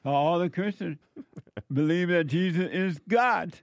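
A man's voice: high-pitched, takes it slowly, dry recording. A man hosting a casual chat who reacts to posts with laughter and playful repetition. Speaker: show host.

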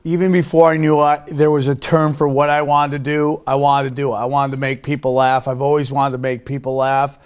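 A man's voice: medium pitch, flat delivery, a little fast, few pauses. A middle-aged man talking casually as an interview guest, a comedian who tells things in an emphatic way, repeating himself for effect.

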